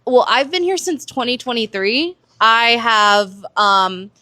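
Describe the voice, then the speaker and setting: A woman's voice: on the high side, expressive, slow, pushed and bright, no room sound, studio quality. An American woman answering interview questions in a conversational, explanatory way. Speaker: production stage manager, interview guest.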